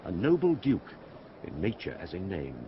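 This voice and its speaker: An actor playing a loyal, frank sea captain with a reassuring, storytelling manner in a Shakespeare radio drama. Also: male voice, sits low, huge pitch swings, normal speed, slight background noise. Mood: frank, helpful. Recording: muffled, loud outdoor ambience behind.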